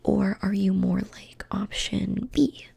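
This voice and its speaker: A young woman whispering softly in an ASMR personality-quiz roleplay, slow and soothing, often repeating phrases. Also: medium pitch. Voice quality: breathy. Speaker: ASMR host.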